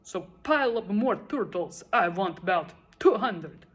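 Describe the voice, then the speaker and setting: A woman's voice: medium pitch, lively intonation, unhurried, breathy edge. A woman voicing a pompous, tyrannical male turtle king in a storybook read-aloud, bellowing and boasting imperiously. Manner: bellowing, imperious, self-admiring.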